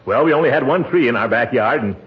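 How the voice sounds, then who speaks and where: A man's voice: medium pitch, animated, quick pace, natural voice. A man acting a pompous, easily flustered middle-aged American uncle in a 1940s radio comedy, blustering and chuckling as he hems and haws. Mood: jovial, pompous. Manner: blustering, hemming and hawing, frequent chuckles. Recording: muffled, noisy old radio recording.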